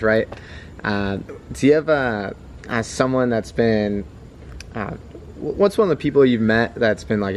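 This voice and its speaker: A man's voice: fairly low pitch, a little mellow, a little hiss or hum. A man interviewing a traveler, asking questions in a hesitant, filler-heavy way. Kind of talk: casual interview chat.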